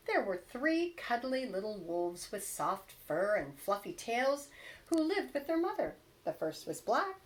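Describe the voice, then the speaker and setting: A woman reads a children's storybook aloud like a teacher. Her pitch is average but very lively, and she takes her time over each phrase. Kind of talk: expressive children's storybook narration.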